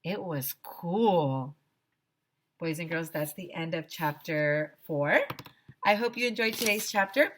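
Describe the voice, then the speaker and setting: A woman teacher reading a children's storybook aloud in an expressive narrating style. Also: fairly low pitch, takes it slowly, a little hiss or hum.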